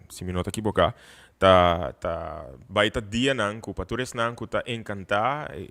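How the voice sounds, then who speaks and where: A man, a Papiamento speaker, hosting a conversational interview in a wordy, halting way. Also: lowish voice, a bit bright. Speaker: talk show host.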